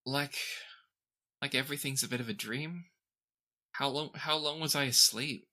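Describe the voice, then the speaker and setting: A man's voice: medium pitch, moderate pace, bright, forceful tone, pitched above the performer's own voice. Man playing a weary, woozy orc spellcaster. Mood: dazed.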